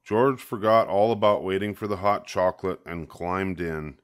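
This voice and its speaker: A man's voice: low-pitched, moderate pace, clear, resonant tone. An older man reading a children's picture book aloud, expressively, leaning on key words. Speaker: storybook reader.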